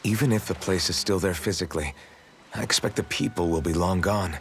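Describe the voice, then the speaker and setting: A man's voice: low-pitched, fairly quick, fairly bright tone, a little hiss or hum. A man voicing a self-doubting, brooding young hero in an English video game dub, speaking in a subdued, reflective way. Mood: somber.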